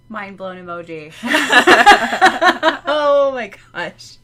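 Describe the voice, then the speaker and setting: Slow, bright-voiced laughing woman. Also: high-pitched. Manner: laughs often, gasps and exclaims. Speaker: podcast co-host. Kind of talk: casual chat.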